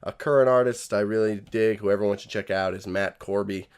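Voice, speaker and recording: A soft-spoken young man talks as a guest in a relaxed, rambling way. His voice sits on the low side, and he leans on little fillers as he finds his words. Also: fairly quick, clear, resonant tone. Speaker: podcast guest, musician. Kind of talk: casual chat.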